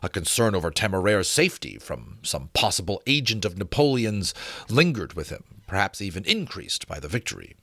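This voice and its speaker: Very expressive male storyteller, dry recording. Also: sits low, a little fast, no room sound. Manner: theatrical, expressive. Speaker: narrator, book reader. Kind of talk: dramatic storytelling, scripted narration.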